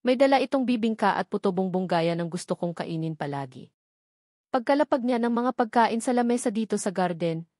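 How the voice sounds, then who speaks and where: Female narrator, Filipino accent, medium pitch, scripted first-person storytelling with very little room sound. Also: a little mellow, dry recording, studio quality. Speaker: audiobook narrator.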